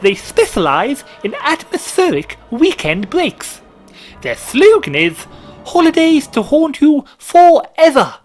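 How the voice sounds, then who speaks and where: A man putting on a high, excitable falsetto for a chattering friend in a spoken story. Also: slow, faint music behind, exaggerated voice. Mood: excited.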